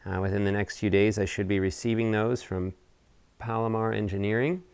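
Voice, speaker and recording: A man presenting a casual explanatory hobby video update outdoors, talking in deliberate, measured phrases. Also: lowish voice, steady tone, a little mellow, smooth, no echo. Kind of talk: casual explanatory vlog talk. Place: outdoors on a house deck.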